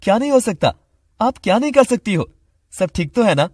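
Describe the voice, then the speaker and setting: Man playing a highish-voiced caring young student. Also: sing-song, fairly quick, darkish tone, slightly rough, no room sound, clean studio sound, heavily acted. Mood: concerned, teasing. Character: young male student in love, caring, playful.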